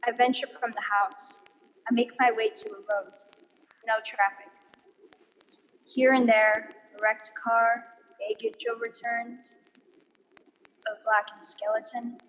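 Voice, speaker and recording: A woman's voice: fairly high pitch, level tone, slow pace, pauses often. Haunted woman professor, acted in reverberant hall. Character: weary, haunted woman professor, a lone survivor. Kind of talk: dramatic monologue in radio play. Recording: reverberant hall, dull stage recording.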